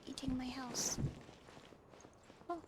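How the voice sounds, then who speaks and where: A woman's voice: high voice, takes it slowly, very noisy. A woman doing casual gameplay commentary, muttering short reactions to herself. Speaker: gamer playing for own video channel. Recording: loud game music behind voice. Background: loud game music.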